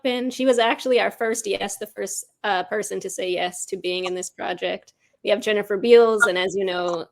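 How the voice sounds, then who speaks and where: Articulate American woman, medium pitch. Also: fairly bright tone. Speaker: audio drama creator, interview guest. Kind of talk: conversational interview answers.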